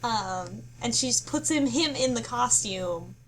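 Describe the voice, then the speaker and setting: A woman's voice: fairly high pitch, animated, a little slow, fairly bright tone, low-quality recording. A woman chatting casually as a podcast panelist, recapping a story piece by piece with some hesitation. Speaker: book club podcast panelist. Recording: clear background noise.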